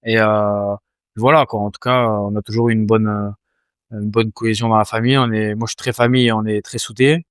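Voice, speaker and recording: Young man, smooth voice, halting answers. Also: on the low side, level tone, no room sound, studio quality. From France. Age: 20s. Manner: hesitant, many fillers and restarts. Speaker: Muay Thai fighter, guest. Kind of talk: casual interview answers.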